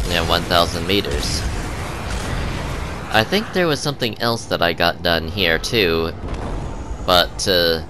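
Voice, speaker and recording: A man giving casual gameplay commentary, halting with many ums and uhs, sniffly from a cold. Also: low-pitched, background noise. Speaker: gaming channel host.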